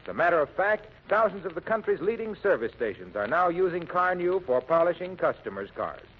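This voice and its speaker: A man's voice: sits high, sing-song, a little fast, a little hiss or hum. An American man announcing and selling in polished 1940s radio style. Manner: polished salesman delivery. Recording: muffled, narrow-band 1940s radio recording.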